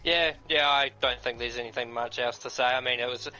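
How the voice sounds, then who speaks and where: A laid-back male co-commentator who talks bluntly and swears casually. His voice is bright and forceful, coming through a slightly dull call with faint music underneath. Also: mid-range voice, fairly even intonation, brisk.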